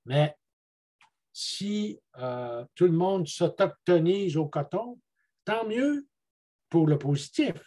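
A man's voice: a little high, animated, slow, pauses often, mellow. An older man with a Quebec French accent, giving a casual talk as an elder on a video call through earbuds. He rambles and repeats words as he searches for them. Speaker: guest speaker, traditional elder. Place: room at home with bookshelves.

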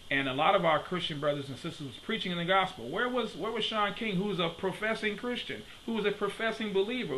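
A man's voice: high voice, sharp tone, slight background noise, rough recording. Emphatic man preaching to a webcam. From Detroit, USA. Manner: emphatic, repeats questions. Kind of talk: preaching video commentary. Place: home study with bookshelves. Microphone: webcam at desk.

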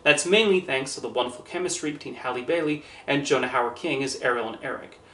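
A man in his thirties reads out a scripted review in an even, earnest manner. His voice sits at an ordinary middle pitch. The small room adds a noticeable echo around him. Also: slight background noise. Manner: measured, earnest, carefully worded delivery. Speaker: movie reviewer. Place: small indoor room with posters and shelves. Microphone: camera at a few feet, standing.

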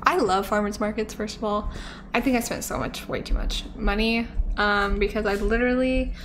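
A woman's voice: mid-range voice, fairly even intonation, normal speed, a bit bright, a little hiss or hum. A young woman streaming from her living-room couch into a studio mic, rambling casually with lots of likes and ums. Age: young adult. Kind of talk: casual chat. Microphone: studio mic on a stand.

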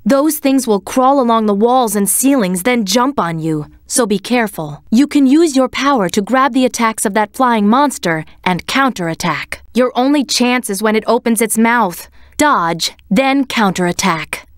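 A woman voicing a serious, composed young female cat in a video game, speaking in a measured, commanding way. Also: a little high, animated, no room sound, studio quality, lightly acted. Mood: composed, instructive. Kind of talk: scripted game voice acting, tactical hints.

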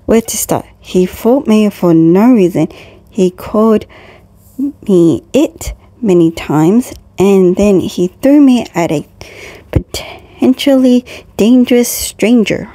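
A woman's voice: mid-range voice, slow pace, mellow, no room sound. A woman voicing a wary, aggrieved young male turtle in a fan comic dub, wordy and dry in manner.

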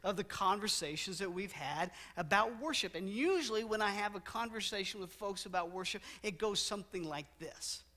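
A middle-aged man preaching a sermon in a church sanctuary, walking the stage, gesturing, and slowing down to stress repeated words. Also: high voice, expressive, bright, forceful tone. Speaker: pastor.

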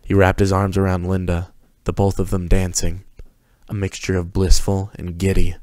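Deep-voiced man narrating a story. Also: monotone, moderate pace, dark tone, no echo. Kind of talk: scripted fan fiction narration.

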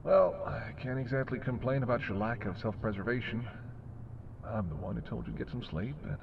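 A man's voice: mid-range voice, lively intonation, a little fast, vocal fry, lo-fi sound, slight background noise. A man playing a sardonic, predatory male vampire in an intimate audio roleplay, with wry, teasing, murmured banter. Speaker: voice actor.

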